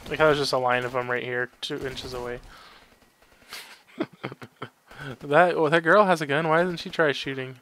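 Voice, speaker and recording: A man's voice: mid-range voice, fairly even intonation, normal speed, long pauses, fairly bright tone. A man giving casual, sarcastic video game commentary, grumbling and swearing freely. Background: game sound effects and ambience.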